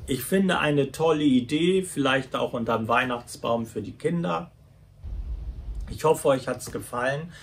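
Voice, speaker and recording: A man giving a casual unboxing commentary in halting short phrases. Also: mid-range voice, vocal fry, husky. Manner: halting short phrases while handling items. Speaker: YouTube toy reviewer. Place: small furnished room at home, indoors.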